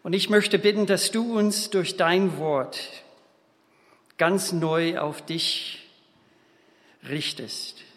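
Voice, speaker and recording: A man in his fifties from Minnesota with an American accent, preaching a sermon in a halting way, with restarts and small slips. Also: sits high, fairly even intonation, a bit bright. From Minnesota, USA. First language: English. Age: 50s. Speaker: guest preacher. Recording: long hall reverb.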